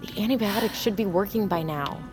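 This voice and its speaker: A woman's voice: mid-range voice, a little fast, over music, close to an everyday voice. A woman playing a chatty, determined young woman in an audio drama, casual and insistent as she talks. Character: chatty, determined young woman looking after her friend.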